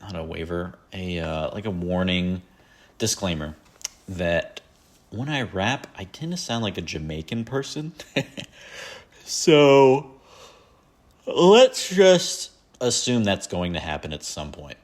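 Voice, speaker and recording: Man, low-pitched voice, rambling casual podcast talk, self-deprecating, filler-heavy. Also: sing-song, slow pace, pauses often. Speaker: podcast host. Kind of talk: casual solo album countdown chat.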